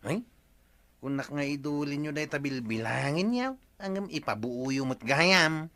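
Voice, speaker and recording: A man's voice: a little high, lively intonation, measured pace, sharp tone, heavily acted. A man with an Ilocano accent playing a village man who lectures others about money, in an Ilocano radio comedy drama.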